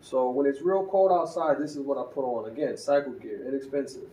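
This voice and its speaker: A man chats through a riding gear review in an unhurried, conversational way, stumbling and restarting now and then. His voice is mellow and relaxed. It sounds a bit distant and roomy, with faint ambience underneath. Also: mid-range voice, a little hiss or hum. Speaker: motorcycle vlogger.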